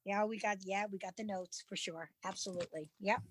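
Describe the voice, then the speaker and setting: A woman's voice: mid-range voice, steady tone, brisk, slight background noise. A woman co-hosting a casual podcast, easygoing and agreeable, emphatic when giving advice. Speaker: podcast co-host.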